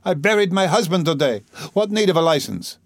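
Man voicing a frantic, haughty, high-pitched gentlewoman. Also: expressive, brisk, dry recording, studio quality, close to an everyday voice. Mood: indignant. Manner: lofty, wailing, rambling, abruptly switching to scolding. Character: distraught, haughty, ailing impoverished gentlewoman, frantic and scolding. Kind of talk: dramatised audiobook character reading.

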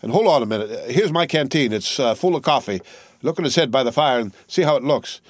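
A man voicing a loud, anxious young soldier on sentry who speaks in quick rustic dialect. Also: medium pitch, lively intonation, dry recording, clean studio sound, voice pushed up, close to an everyday voice. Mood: eager.

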